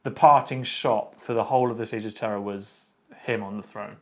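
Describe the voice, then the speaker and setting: A man's voice: fairly low pitch, steady tone, moderate pace. A man co-hosting a casual book discussion, speaking in a dry, matter-of-fact way with frequent restarts. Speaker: co-host. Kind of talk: casual chat.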